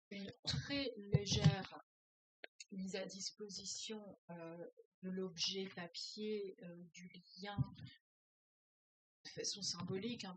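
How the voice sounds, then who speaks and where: A woman's voice: medium pitch, darkish tone, rough recording. A woman who teaches French as a foreign language, reading a novel aloud and breaking off to comment, with hesitant, thinking-aloud speech full of filler sounds.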